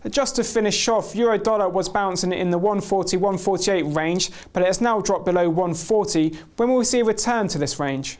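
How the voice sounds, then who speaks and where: A man's voice: high-pitched, a little fast, few pauses, a little mellow, slight background noise. A man presenting financial news in a scripted broadcast style and putting questions to a guest.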